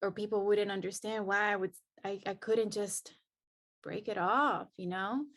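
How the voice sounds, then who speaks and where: A woman's voice: mid-range voice, fairly even intonation, measured pace. Earnest woman telling her story. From El Salvador. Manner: earnest and reflective, many restarts. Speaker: podcast guest. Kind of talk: personal storytelling in an interview.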